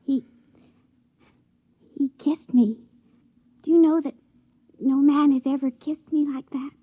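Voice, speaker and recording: A woman's voice: sits high, steady tone, slow pace. A woman acting as a shy, starry-eyed young assistant in a 1950s radio drama, hesitant and tender. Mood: tender, breathless. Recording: thin phone-like vintage radio recording.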